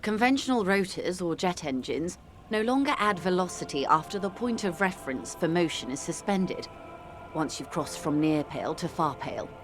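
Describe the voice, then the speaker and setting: An actress playing a composed, wealthy middle-aged corporate negotiator in a video game, speaking in a measured, articulate, world-weary way. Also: female voice, lowish voice. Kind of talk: video game character dialogue.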